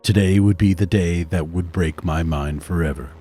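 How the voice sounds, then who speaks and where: A man playing a haunted, appalled doctor in a horror audio drama, speaking gravely and dramatically. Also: deep voice, moderate pace, mellow, faint music behind, lightly acted. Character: haunted, horrified male doctor, reluctant lab assistant.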